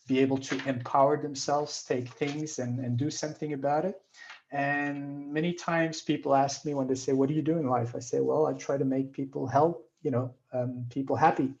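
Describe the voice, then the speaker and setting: Belgian man in his fifties, mellow. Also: medium pitch, muffled recording. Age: 50s. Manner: earnest, explanatory, slightly non-native phrasing. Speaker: guest speaker. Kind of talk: presentation on a video call. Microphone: video call.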